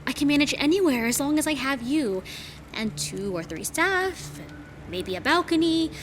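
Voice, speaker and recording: A woman's voice: high-pitched, animated, normal speed, faint music behind. A woman playing a devoted, playful aristocratic lady in love in a period audio drama. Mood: tender, playful.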